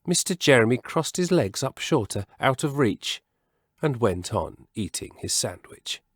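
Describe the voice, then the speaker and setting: A man telling a children's story as a scripted, expressive reading, measured and savouring the descriptive words. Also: medium pitch, dry recording, studio quality.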